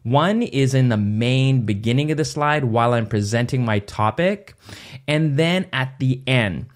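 Tutorial host, male, 30s, mid-pitched, easygoing step-by-step explainer, close boom mic in a home studio. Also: expressive, unhurried. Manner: casual step-by-step explaining with restarts. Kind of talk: screen-recorded software tutorial. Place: small home studio indoors. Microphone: boom mic on arm near face.

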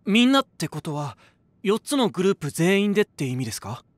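A man's voice: sits high, big pitch swings, a little fast, no echo. A male actor playing a sincere, eager young rock singer in an anime voice drama, speaking politely. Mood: curious.

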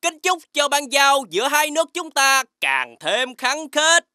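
A haughty cartoon envoy, high and sharp, swooping through ceremonious phrases. The manner is pompous and insinuating, with a threat underneath. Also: female voice, sing-song, a little slow, dry recording, clean studio sound, full character voice. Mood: smug, flattering. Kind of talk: animated fairy tale acting.

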